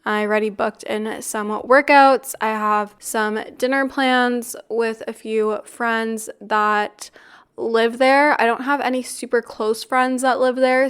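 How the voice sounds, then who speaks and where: A young woman in her twenties hosts a chatty podcast, sounding a little congested and raspy from a recent flu. Her voice sits fairly high and stays smooth and clear, with a flat, even melody as she talks upbeat and easy. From Canada. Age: 20s. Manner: chatty, upbeat, with frequent "like" and "really". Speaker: podcast host. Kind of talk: casual chat.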